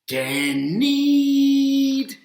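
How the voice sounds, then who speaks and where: A man voicing an eager, simple-minded bear from a picture book, speaking in short, blunt, drawn-out exclamations. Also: extremely high pitch, big pitch swings, darkish tone, pitched above the performer's own voice, heavily acted. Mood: eager exclaiming. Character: eager, simple-spoken male bear proudly building his home. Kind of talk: picture-book character read aloud. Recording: roomy, echoing sound.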